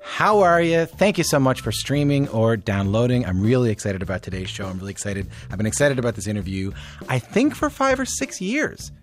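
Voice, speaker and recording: A man hosting an interview show in a conversational style with informal asides, his voice slightly croaky as if he is losing it. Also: medium pitch, very animated, a little fast. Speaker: podcast and radio show host. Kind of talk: conversational scripted introduction and interviewing.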